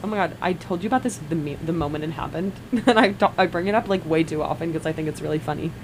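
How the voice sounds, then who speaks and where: A young woman with a deep, somewhat low-pitched voice, chatting loosely on a college radio show and giggling between her words. Her studio mic picks up a clear layer of background noise. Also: fast talker, poor audio. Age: young adult. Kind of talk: casual chat. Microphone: studio mic with headphones.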